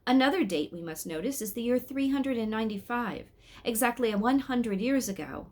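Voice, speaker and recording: A woman's voice: a little high, expressive, normal speed, a little mellow, pitched above the performer's own voice. Woman reading as a learned, precise angel. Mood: informative. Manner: didactic, matter-of-fact. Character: learned male angel, precise keeper of dates.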